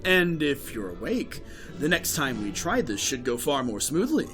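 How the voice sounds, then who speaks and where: Man playing a smug villain boss, high-pitched, swinging delivery. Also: big pitch swings, moderate pace, fairly bright tone, faint music behind. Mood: smug, sinister. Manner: smug, dryly sarcastic, menacing. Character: sinister male villain boss, smug, commanding his henchmen. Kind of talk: audio drama acting.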